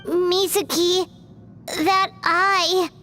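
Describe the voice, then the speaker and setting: A woman voicing a tiny, excitable childlike companion sprite in a video game, with a squeaky, exclamatory delivery. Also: very slow, soft background music, full character voice. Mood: anxious wonder. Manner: exclamatory, speaks in third person. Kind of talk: cartoonish video game voice acting.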